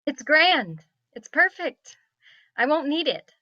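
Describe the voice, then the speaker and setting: Fantasy table read, actress as dramatic glum princess, young, very lively pitch, bright tone, dull mic. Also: female voice, slow pace, exaggerated voice. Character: glum, lonely princess nearly thirty.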